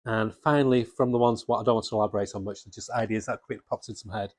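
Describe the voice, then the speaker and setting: A man's voice: lowish voice, steady tone, racing, no echo. A man presenting unscripted fan commentary to viewers in a casual, rambling, enthusiastic way. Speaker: comics video presenter.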